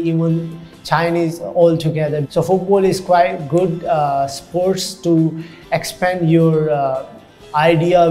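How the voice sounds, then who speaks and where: 30s guy from hong kong, youth worker, kinda high but flat monotone, lots of uh, quiet music under. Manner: earnest, halting with frequent uh fillers. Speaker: youth program coordinator. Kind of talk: documentary interview. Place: indoor community centre room.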